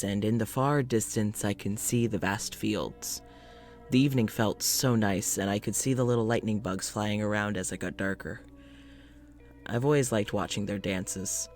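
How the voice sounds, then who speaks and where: A man playing a low-voiced, uneasy male scientist. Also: lightly acted. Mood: relaxed, reflective. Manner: reflective, conversational, hesitant. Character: polite, uneasy male scientist recording an audio journal. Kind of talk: audio drama monologue and dialogue.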